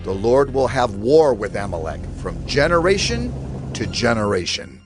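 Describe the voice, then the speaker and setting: A man's voice: medium pitch, very animated, moderate pace, music in the background. Man playing Moses, a dramatically swooping prophet. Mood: resolute. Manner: dramatic, declamatory. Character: Moses, impassioned, burdened male prophet and leader. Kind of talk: dramatized scripture reading.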